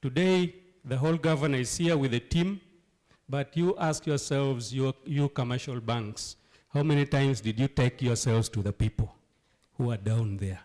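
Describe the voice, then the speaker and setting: A hoarse man from Uganda, sick with a cold, speaking emphatically at a town hall meeting and asking rhetorical questions. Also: mid-range voice, moderate pace, darkish tone. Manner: emphatic, rhetorical questions, repeats key phrases. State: hoarse from flu and cough. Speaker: local leader addressing a town hall.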